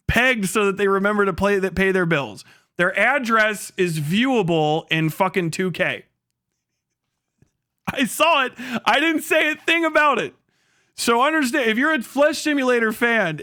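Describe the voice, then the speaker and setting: Man, live streamer, blunt casual rant, sarcastic, sweary, crisp voice, close dry sound. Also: sits high, pushed and bright, no echo. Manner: blunt, heavily profane, sarcastic. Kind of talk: casual livestream rant with chat.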